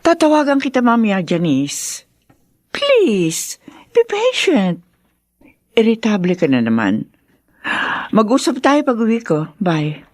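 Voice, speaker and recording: Radio drama mother, middle-aged: remorseful, halting and pleading, very expressive intonation. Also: on the high side, no echo, studio quality, full character voice. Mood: placating. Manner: hesitant, pleading. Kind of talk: Tagalog radio drama acting.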